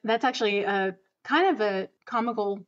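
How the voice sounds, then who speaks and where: A woman's voice: medium pitch, moderate pace. Earnest woman guest with muffled sound. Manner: earnest, laughs often, many ums. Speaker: podcast guest, audio drama producer. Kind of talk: casual interview answers.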